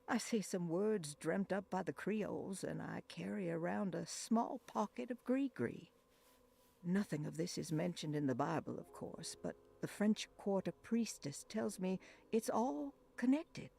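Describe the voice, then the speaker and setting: A husky, hushed 1920s believer. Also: female voice, medium pitch, expressive, a little slow, full character voice. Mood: hushed prayerful. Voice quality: husky and gravelly. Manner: hushed, reverent reading, drifting into whispers. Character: devout 1920s woman reading her prayer diary. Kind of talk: game voice-over narration.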